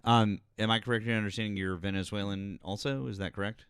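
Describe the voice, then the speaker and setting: Deep-voiced hesitant talk show host. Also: male voice, steady tone, fast, a bit bright, clear, resonant tone, no room sound, studio quality. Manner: hesitant, filler-heavy, then pointed questions. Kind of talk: live political talk stream.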